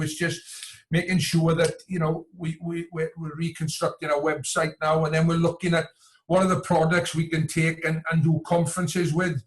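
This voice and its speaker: Higher-voiced man from Wales, hesitant talker. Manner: hesitant, repetitive, frequent "you know". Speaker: coach and entrepreneur, podcast guest. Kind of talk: conversational interview.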